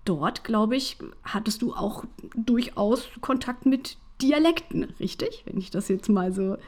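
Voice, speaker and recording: A woman's voice: fairly high pitch, animated, measured pace, darkish tone. A woman hosting an academic interview, asking questions and encouraging her guests. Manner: encouraging, frequent affirmations.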